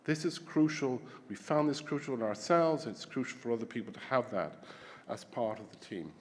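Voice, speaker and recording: A Scottish man giving a formal opening welcome as conference host, with halting restarts and repeated words. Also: mid-range voice, animated, a little hiss or hum. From Scotland. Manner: hesitant, repeats words while searching. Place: large conference hall. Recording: long hall reverb.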